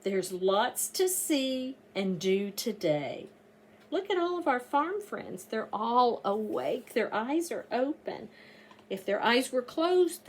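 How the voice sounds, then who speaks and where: A middle-aged woman reads to little children in a teacherly, sing-song way. Her voice is slightly high and very lively, with a breathy, husky edge that often softens toward a whisper. She takes her time and leans on key words. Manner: animated, sing-song, with emphatic slowed words. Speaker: teacher reading aloud to young children. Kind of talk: children's read-aloud with questions to the listeners. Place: small indoor room, seated by a door.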